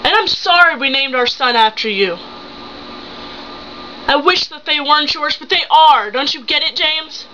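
Young woman acting a betrayed, high-voiced accusing wife. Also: sharp tone. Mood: bitter, accusing. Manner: emphatic, accusing, halting with dramatic pauses. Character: betrayed, hurt and angry young wife and mother. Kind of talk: improvised dramatic monologue. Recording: muffled home recording with hum.

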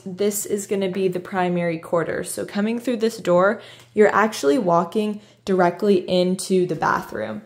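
A woman real estate agent walks through a home, narrating each room in a bright, chatty, enthusiastic way. She speaks at a middling pitch and sounds like she is holding the phone she films with. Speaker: real estate agent giving a house tour. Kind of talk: walking house tour narration. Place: inside and around a house, voice-over while filming.

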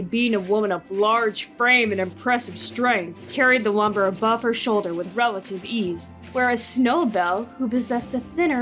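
Female narrator, fairly high, gentle even storytelling. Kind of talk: scripted narration.

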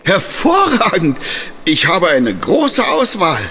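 An actor playing a courteous, shaken violin repairer who speaks politely and formally in a German audio drama. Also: male voice, sits high, wildly swooping pitch, slow, pushed and bright, breathy edge, full character voice. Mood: polite, obliging.

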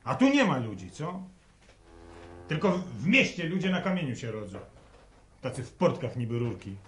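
An actor playing a resentful farmer son in a radio play, grumbling and sarcastic in rural speech. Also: male voice, medium pitch, sing-song, moderate pace, soft background music, lightly acted. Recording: roomy, noisy radio recording.

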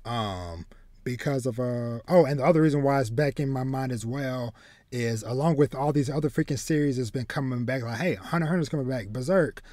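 A man in his thirties chatting casually as a podcast host, haltingly, often repeating words as he goes. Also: medium pitch, a little mellow, no room sound. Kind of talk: casual chat about manga and anime. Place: small home room with bookshelves.

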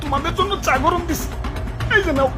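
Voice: very high-pitched, fast, music in the background. A performer voicing a smug, greedy cartoon dam keeper who talks in an exaggerated, self-righteous comic way.

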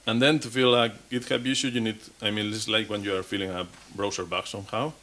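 Man, 30s, lowish voice, speaking from a podium at a conference, restarting and repeating words as he goes. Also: fairly even intonation, fast talker, pushed and bright. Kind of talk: technical conference talk. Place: conference stage.

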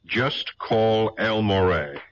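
Low-voiced suave radio-drama investigator. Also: male voice, slow. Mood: businesslike, casual. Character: suave male investigator agent, wary and quick-witted. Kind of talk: 1970s radio drama acting. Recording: muffled vintage radio recording with hiss.

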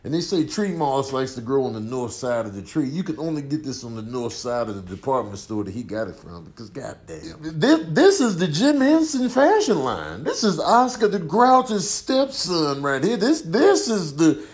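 A man's voice: a little high, sing-song, normal speed, few pauses. A man giving exaggerated, incredulous comedic roasting commentary.

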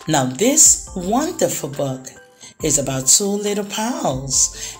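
A woman reading a children's story aloud, with dramatic phrasing and drawn-out pauses. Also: low voice, darkish tone. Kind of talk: expressive read-aloud storytelling for young children.

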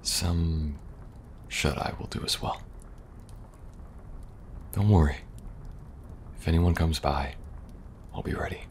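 A man playing a weary, protective young survivor agent in an ASMR audio roleplay, speaking softly and reassuringly, a little hesitant. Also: very deep voice, slow, long pauses, full character voice. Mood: tired, protective. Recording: faint background noise and ambience.

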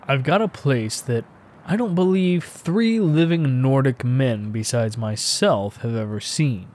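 A man's voice: medium pitch, a little mellow. A man narrating a classic horror story in a dramatic, literary audiobook style.

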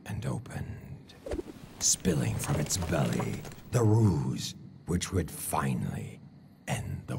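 An actor narrating an ancient Greek legend as a solemn, portentous storyteller in a dramatic game cutscene. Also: male voice, a bass voice, gravelly, noisy background, exaggerated voice. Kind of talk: dramatic scripted narration of an epic. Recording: music under the voice.

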